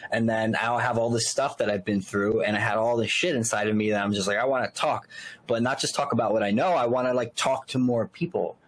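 A man's voice: fairly low pitch, fairly even intonation, fairly quick, rough recording. A man in his early thirties hosting a podcast interview, talking casually and earnestly with lots of fillers and loose, rambling sentences. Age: 30s. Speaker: podcast host.

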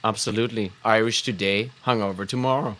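A man's voice: lowish voice, fairly even intonation, brisk, fairly bright tone. A man co-hosting a casual live stream, goofy and chatty, throwing out puns and hyping them up to the audience. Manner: goofy, hypes up his own jokes, lots of fillers. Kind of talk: casual banter, telling puns.

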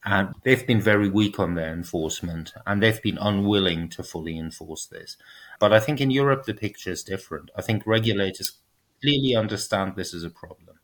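Man, lower voice, podcast guest; patient explainer with a dry, ironic edge. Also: a bit bright. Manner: measured, explanatory, lightly ironic. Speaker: guest, transparency advocate. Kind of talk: podcast interview.

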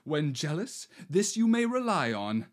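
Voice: medium pitch, very animated, unhurried. Someone doing a swaggering bandit in grand marquis pose. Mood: grandiose. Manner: bombastic, theatrical verse delivery with wild swings. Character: swaggering male bandit posing as a romantic marquis. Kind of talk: amateur reading of a verse play.

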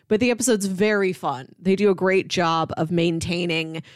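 A woman's voice: fairly low pitch, moderate pace, no echo, clean studio sound. A woman co-hosting a podcast, giving recommendations in a casual, conversational style. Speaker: podcast co-host.

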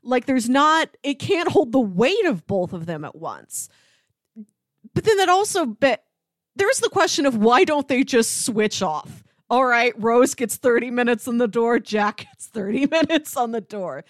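A woman's voice: fairly high pitch, big pitch swings, a little slow, dry recording. Woman chatting casually, in a dry close recording. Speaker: podcast co-host. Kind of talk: casual film discussion.

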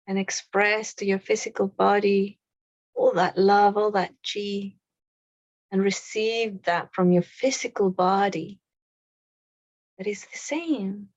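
Slow, soothing woman guiding a meditation. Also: mid-range voice, fairly even intonation, dry recording, lo-fi sound. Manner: slow, soft, with long pauses. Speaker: meditation guide. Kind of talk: guided meditation.

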